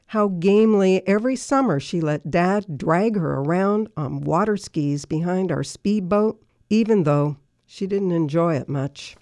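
An older woman, around her sixties, reading her own personal remembrance aloud in a measured, list-like recitation. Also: on the low side, darkish tone, dry recording, clean studio sound. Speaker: listener contributor reading own writing.

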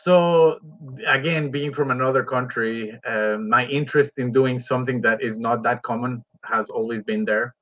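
A man from Ecuador, a university professor, explaining his work as a radio interview guest in a halting, pause-filled way. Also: medium pitch, no echo, lo-fi sound.

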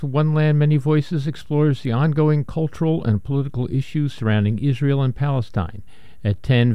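Man announcing station programs, crisp and dry. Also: medium pitch, no echo. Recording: dry, dull studio sound.

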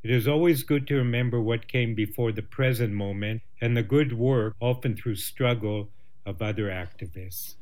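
A man hosting a radio show, introducing segments and guests in a measured, clear way. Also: mid-range voice, a little mellow.

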